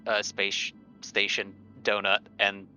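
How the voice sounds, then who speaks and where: A man's voice: fairly low pitch, monotone, slow pace, pushed and bright, faint music behind. A man playing a tabletop game, chatting casually in a hesitant, wry way. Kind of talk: casual chat. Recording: dull lo-fi mic, background noise.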